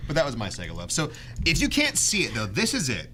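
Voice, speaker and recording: A man hosting a casual video game chat in an animated, jokey way, often acting out bits of dialogue. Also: fairly high pitch, normal speed. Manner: animated, jokey, acts out quoted lines. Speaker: podcast host. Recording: clear background room noise.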